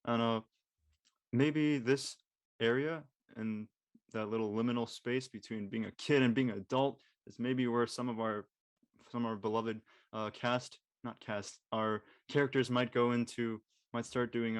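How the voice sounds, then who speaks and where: A man's voice: medium pitch, no echo. A young man who speaks casually and tentatively in a panel discussion, searching for his words. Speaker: actor on a podcast drama panel.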